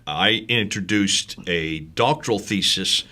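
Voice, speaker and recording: A man on as a studio guest, speaking with energy and emphasis. His voice sits fairly low but comes across bright and forceful. He drives each point home. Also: slow pace. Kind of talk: studio discussion.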